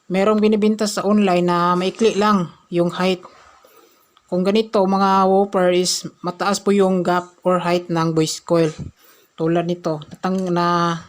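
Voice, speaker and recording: A woman presenting an informal hands-on repair tutorial, halting and punctuating steps with short filler phrases. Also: on the low side.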